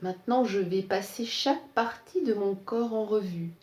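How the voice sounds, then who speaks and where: A woman leading a guided yoga relaxation in a calm, soothing, measured way, leaving pauses between phrases. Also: medium pitch. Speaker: yoga nidra instructor. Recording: roomy, echoing sound.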